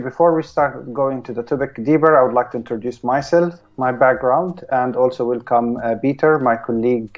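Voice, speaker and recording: A man's voice: medium pitch, dull audio. A man from Palestine hosting a live stream, talking casually and hesitantly with many filler sounds.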